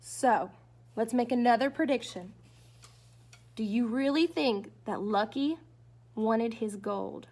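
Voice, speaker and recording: A woman's voice: a little high, slow pace, long pauses, darkish tone. A woman reading a storybook aloud as a teacher to children, expressively and dramatically, coaxing them with questions.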